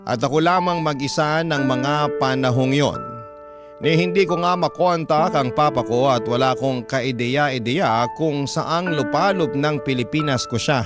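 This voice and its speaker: Male narrator for radio storytelling, medium pitch, scripted dramatic read, very prominent music bed. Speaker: narrator reading a listener's story. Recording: loud music under the voice.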